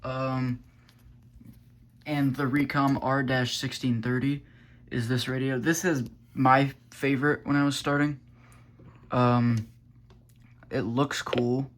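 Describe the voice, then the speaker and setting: A man's voice: mid-range voice, flat delivery, slow pace, long pauses. A man giving a casual, unscripted product review as a hobbyist, thinking aloud in a loose, hesitant way. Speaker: weather radio hobbyist reviewing gear.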